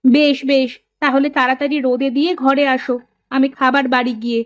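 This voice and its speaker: A woman playing a shrewd, resolute potter's wife in an animated moral story, expressive and assertive. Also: high voice, a little fast, natural voice. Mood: caring.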